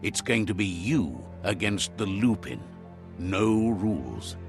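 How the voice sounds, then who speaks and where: A low, lively storybook narrator. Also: male voice, takes it slowly, gravelly, over music, exaggerated voice. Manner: relays and translates what creatures say in a calm, kindly storyteller way. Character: grown male storybook narrator, calm and wise. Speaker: game narrator.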